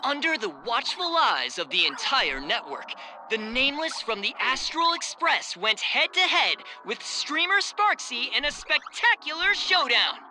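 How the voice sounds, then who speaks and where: Excitable TV news anchor for a game: high, lively, emphatic broadcast delivery over music. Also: female voice, heavily acted, rough recording. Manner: animated, emphatic. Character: excitable, polished female TV morning news anchor. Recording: background music.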